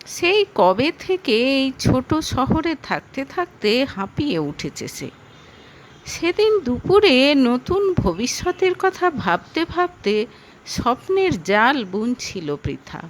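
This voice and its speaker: A woman narrating a scripted story in a dramatic, expressive manner. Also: sits high, takes it slowly, a little hiss or hum, low-quality recording. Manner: expressive, acts out dialogue.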